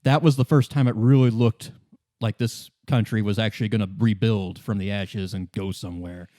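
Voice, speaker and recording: Composed, worldly company woman, acted out by a man for tabletop roleplay; halting explanations, weary air, dry sound. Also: on the low side, fairly even intonation, dark tone, dry recording, lightly acted. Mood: wistful. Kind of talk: in-character tabletop roleplay exposition.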